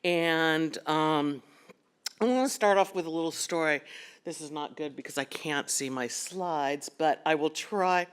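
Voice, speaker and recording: A woman's voice: deep voice, unhurried, smooth. A woman clinical psychologist, giving a conversational conference talk with frequent ums and self-corrections.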